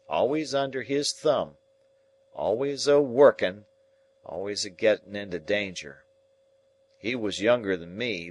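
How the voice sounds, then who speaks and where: A man voicing a rough old male convict, gruff and plainspoken, in a rustic dialect. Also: fairly low pitch.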